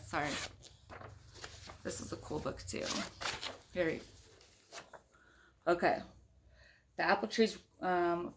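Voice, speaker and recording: A woman reading a picture book aloud to young children in a conversational way, with chatty asides and questions to her listeners. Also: fairly low pitch.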